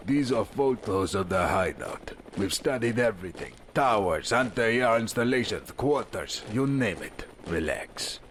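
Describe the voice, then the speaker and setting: An actor playing a stern, brisk special forces colonel in a radio drama, clipped and authoritative. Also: male voice, fairly low pitch, expressive, a bit bright, a little hiss or hum. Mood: firm.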